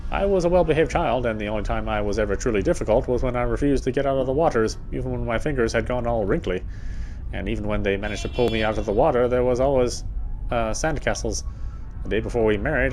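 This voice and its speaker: A man's voice: medium pitch, a little mellow, rough recording. A man reading first-person visual novel narration aloud as he plays the game. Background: background music.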